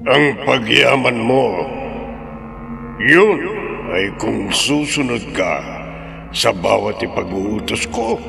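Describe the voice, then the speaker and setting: Sinister otherworldly tempter: heavily gravelled, grandiose, drenched in cavernous reverb, horror radio drama. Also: male voice, mid-range voice, expressive, music in the background, heavily acted. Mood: pleased, commanding. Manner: slow, grandiose, gloating. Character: sinister, powerful otherworldly master tempting a gambler.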